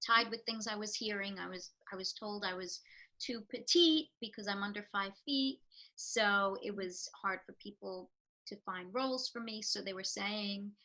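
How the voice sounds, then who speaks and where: A woman's voice: medium pitch, moderate pace, pushed and bright, low-quality recording. A woman with a New York accent, a real estate professional giving earnest, reflective interview answers with frequent fillers.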